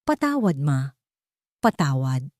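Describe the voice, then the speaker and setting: A woman's voice: rumbling low, big pitch swings, takes it slowly, a little mellow, no room sound, studio quality, voice pushed down, full character voice. A woman voicing a devoted adult son in an audio story, earnest and apologetic. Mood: tearful remorse. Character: distraught adult son, a millionaire, remorseful, devoted.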